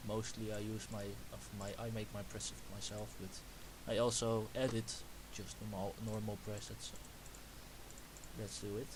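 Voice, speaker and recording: A young man with a Dutch accent, Dutch his first language, explaining music production step by step in a halting, self-correcting way. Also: lowish voice, flat delivery. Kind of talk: screen-recorded production tutorial. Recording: hissy home recording.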